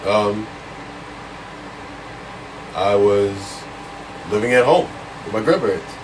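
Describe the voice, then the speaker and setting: A man's voice: on the low side, takes it slowly, recorded from a distance, noisy background. A man in his late twenties co-hosting a casual podcast, drawling and laid-back. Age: 20s. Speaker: podcast co-host. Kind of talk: casual chat.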